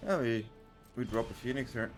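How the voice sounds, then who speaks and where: Male streamer with a non-native accent, relaxed gameplay talk with faint music under it. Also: mid-range voice, moderate pace. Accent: unplaced non-native accent. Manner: chatty, loose, with many fillers and restarts. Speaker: content creator and streamer. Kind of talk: casual gameplay commentary.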